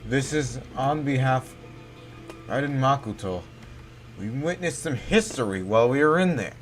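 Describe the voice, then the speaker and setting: A man talks along with a video game in an animated, mid-pitched voice. He improvises haltingly and repeats his phrases, with clear game music behind him. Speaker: game streamer.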